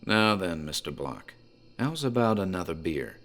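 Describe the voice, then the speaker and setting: A man playing a talkative rural tavern landlord, telling a dark local horror tale in a measured, dramatic way with pauses. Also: sits low, sing-song. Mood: casual, inviting.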